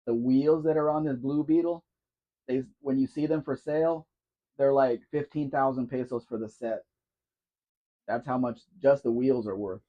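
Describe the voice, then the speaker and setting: A man hosts a relaxed live Q&A, rambling easily and calling out viewers by name. His voice sits in a middling pitch. The audio is dull and muffled. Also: moderate pace. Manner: chatty, answers chat by name, wanders while talking. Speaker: livestream host. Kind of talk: casual live Q&A chat.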